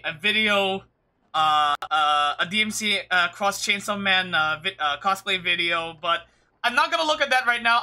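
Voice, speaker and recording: High-pitched, bright-voiced male game streamer. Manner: hesitant, musing, reactive. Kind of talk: casual live-stream reaction to a game.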